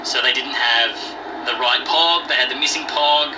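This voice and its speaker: A man's voice: medium pitch, steady tone, normal speed. Australian man in a noisy phone message. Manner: easygoing, with many "uh" and "um" fillers. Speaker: listener sending a voice message. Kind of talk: casual recorded voice message. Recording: phone voice message played back from a distance, noisy.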